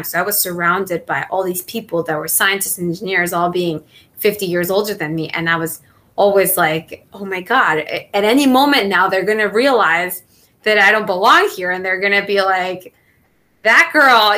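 A woman in her thirties from southern Mexico whose first language is Spanish, talking through an interview with a bright, clear tone. She thinks aloud, pausing on fillers and restarting her sentences. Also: mid-range voice. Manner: thoughtful, many fillers and restarts. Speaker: guest, NASA engineer. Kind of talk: conversational interview answers.

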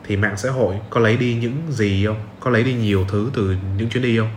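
A man's voice: fairly low pitch, steady tone, a little fast, darkish tone. A man with a southern Vietnamese accent hosting a casual podcast interview, asking questions and giving short agreeing replies. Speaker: podcast host. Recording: roomy sound with faint noise.

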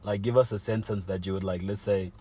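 A man's voice: fairly low pitch, steady tone, a little fast, crowd noise. A young man telling a personal story in casual chat, full of slang and fillers like "like" and "you know". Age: young adult.

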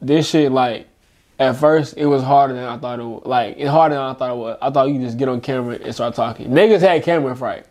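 A man's voice: mid-range voice, quick pace. A man in his twenties co-hosting a podcast, chatting casually in slangy banter, sounding congested. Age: 20s. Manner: animated slangy banter with frequent laughter. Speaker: podcast co-host. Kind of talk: casual chat.

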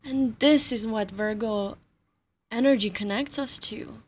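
A woman whose first language is Slovenian, talking calmly about astrology and the body, with soft laughs between her thoughts. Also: a little high, unhurried, slightly rough. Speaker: astrology teacher. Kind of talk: reflective spiritual talk.

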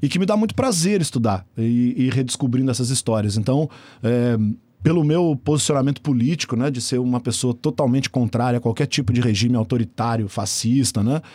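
A man's voice: mid-range voice, fairly quick, darkish tone, no room sound. A man. He is a researcher giving an interview, explaining things in a thoughtful, hesitant way with frequent restarts. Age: 40s. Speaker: researcher guest.

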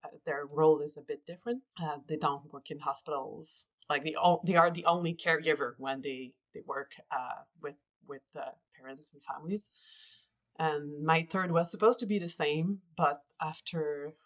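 A woman in her forties with a Quebec French accent, a native French speaker, chatting as a podcast guest with frequent pauses and restarts. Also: low voice, fairly even intonation. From Quebec, Canada. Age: 40s. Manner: earnest, pauses often with fillers and restarts. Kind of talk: casual interview chat.

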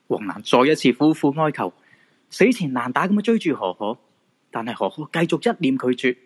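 A man's voice: medium pitch, very animated, brisk, slightly rough. A man with a Hong Kong Cantonese accent giving dramatic scripted narration for a radio drama.

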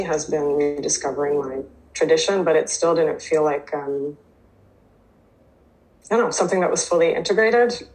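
Woman, native Hebrew speaker, low voice, hesitant reflective share. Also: low-quality recording. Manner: hesitant, many fillers and restarts. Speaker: twelve-step program member sharing. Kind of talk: reading aloud, then personal recovery share.

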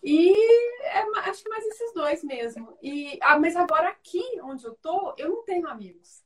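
A woman's voice: extremely high pitch, expressive, moderate pace. A woman with a Brazilian Portuguese accent, chatting as an interview guest over a video call, full of tag questions and restarts. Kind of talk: casual conversation. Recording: voice distant from the mic.

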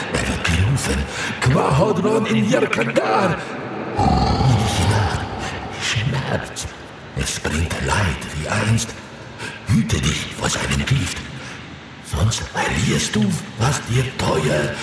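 Ein Mann spielt in einem Fantasy-Hörspiel einen Seher, der in unheilvoller Trance stockend und bedeutungsschwer Visionen verkündet. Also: male voice, mid-range voice, vocal fry, heavily acted. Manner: halting, portentous fragments with dramatic outbursts. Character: male seer in a dark, ominous prophetic trance. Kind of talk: fantasy audio drama acting. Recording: cavernous echo, distant, heavy background noise.

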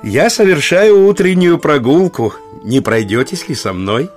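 An actor playing a genial, inquisitive monk with an unhurried theatrical delivery in an audio play. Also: male voice, a little high, animated, soft background music. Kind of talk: Russian audio play acting.

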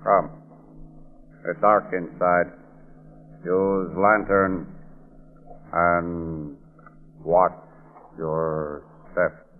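A solemn cave guide, halting and deep. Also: male voice, little pitch movement. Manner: terse, halting broken English. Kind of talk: old-time radio drama acting. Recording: tinny old radio recording, echoey.

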